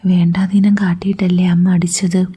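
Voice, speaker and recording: A woman's voice: lowish voice, level tone, normal speed, mellow, breathy edge. Woman whispering as a remorseful mother-in-law. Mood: whispered. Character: remorseful middle-aged mother-in-law, scolding yet tender.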